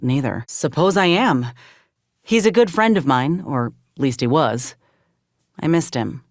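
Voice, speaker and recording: An earnest, steadfast female ex-soldier from a video game space frontier. Her is folksy and plainspoken, with measured delivery. The sound is very dry and close, without room. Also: rumbling low, dry recording. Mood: wistful, reserved. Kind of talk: video game character dialogue.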